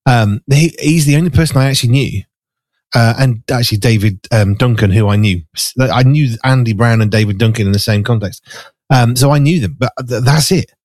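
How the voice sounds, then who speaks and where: A man with a raspy, gravelly, hoarse voice, an animated radio host chatting effusively with many restarts. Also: mid-range voice, fairly quick, dry recording. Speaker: radio host and magazine editor. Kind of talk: casual conversation. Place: farmhouse.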